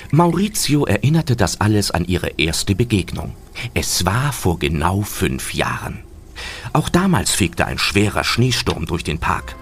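A man narrating a children's audio drama as a scripted storyteller. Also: low voice.

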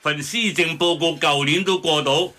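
A man's voice: fairly high pitch, normal speed, sharp tone. A man playing a brash, dismissive middle-aged character who blusters and argues in a radio drama. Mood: scornful, blustering.

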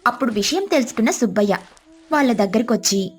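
A woman's voice: on the high side, lively intonation, fast talker, faint music behind. A woman storyteller reading scripted narration of a moral tale.

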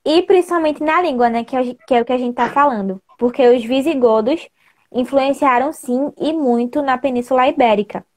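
A woman in her twenties with a northeastern Brazilian accent speaks as a student guest in an online chat. Her voice is a little high, and she explains things casually. She talks into a wired earphone mic at home. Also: brisk. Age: 20s. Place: indoor room at home. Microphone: wired earphone mic on a video call.

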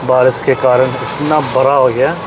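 A man's voice: medium pitch, little pitch movement, normal speed, background noise. A man casually narrating his road trip for a travel vlog, pointing out sights to viewers as he films on a phone. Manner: chatty, pointing things out to viewers. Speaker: travel vlogger. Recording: music playing underneath.

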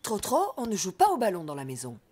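A woman voicing a gently firm mother donkey in a children's cartoon, giving motherly instructions. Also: medium pitch, huge pitch swings, fast talker. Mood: mildly firm. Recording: music behind the voice.

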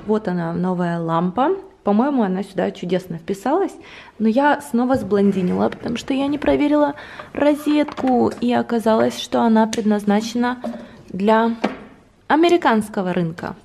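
A woman's voice: on the high side, moderate pace, creaky, a little mellow. A woman in her thirties chatting casually and playfully for a home vlog, as an affectionate mother. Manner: playful, giggly, affectionate with children. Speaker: vlogger and mother. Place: shop, then a large living room at home. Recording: noisy camera audio with clicks.

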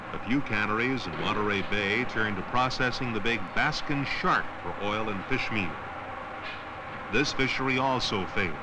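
A man reads scripted educational documentary narration in a measured, declarative way, in the style of around 1970. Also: on the low side. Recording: noisy old educational film soundtrack.

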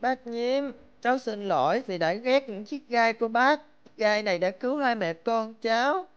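A smooth, high-voiced little cartoon bird child, voiced by a woman. The child is polite and earnest. The recording is slightly dull. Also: lively intonation, moderate pace, natural voice. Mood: apologetic. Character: small, polite, apologetic young bird child. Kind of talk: cartoon dubbing.

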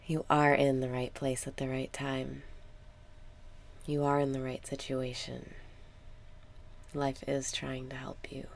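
A woman leading a guided meditation in a calm, soothing manner, with soft, sometimes breathy phrasing and deliberate pauses. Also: rumbling low. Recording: background noise with ambient sound.

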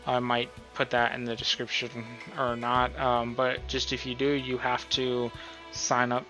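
A man's voice: medium pitch, monotone, a little slow, pushed and bright. A man giving a casual screen-recorded tutorial, thinking aloud informally and often checking in with the listener. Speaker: tutorial host. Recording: dull, lo-fi sound with music.

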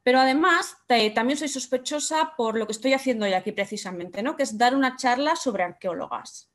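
A young woman with a fairly high voice lectures over a webcam from her room at home. She keeps it conversational and keeps throwing rhetorical questions at her listeners. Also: brisk. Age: young adult. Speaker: speaker giving a talk. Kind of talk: online conference talk.

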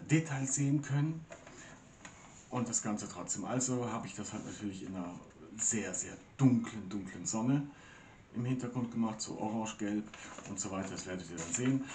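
A man's voice: lowish voice, darkish tone, echoey room, recorded from a distance, a little hiss or hum. A man in his forties talking casually to the camera about his painting, rambling and full of fillers, recorded in a small room at home. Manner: chatty, rambling, with many fillers. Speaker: acrylic painting channel host.

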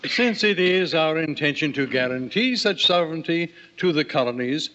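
An actor playing an aging, diplomatic elder statesman in an old-time radio drama, measured and courtly. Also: fairly high pitch, animated, bright, forceful tone, a little hiss or hum. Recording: muffled old radio recording.

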